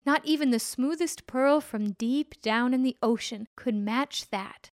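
A woman's voice: high voice, measured pace, no room sound, studio quality. A woman voicing a small, anxious old wife in a folk tale, urgent and bossy when she calls for help. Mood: tender.

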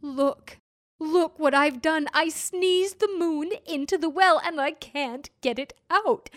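A woman voicing a kindly, fretful old husband in a storybook tale, rising high and loud when he frets. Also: slow pace, dry recording, clean studio sound. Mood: pleading.

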